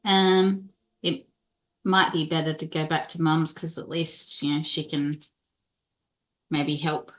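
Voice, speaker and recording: A woman playing a tabletop game, chatting in character in a halting, casual way. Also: low-pitched, flat delivery, a bit bright, reverberant. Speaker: player in a tabletop roleplaying game.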